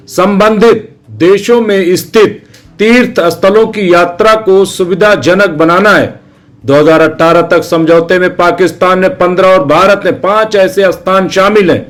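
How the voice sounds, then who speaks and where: A man reading a scripted news explainer in a formal, measured way, in short phrases. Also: sits high. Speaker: news narrator.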